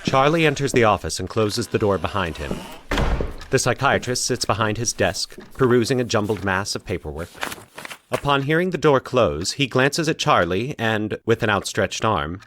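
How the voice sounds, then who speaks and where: Male horror podcast narrator, low register, highly varied intonation, dramatic and suspenseful storytelling. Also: a bit bright, slight background noise. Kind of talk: scripted horror fiction narration.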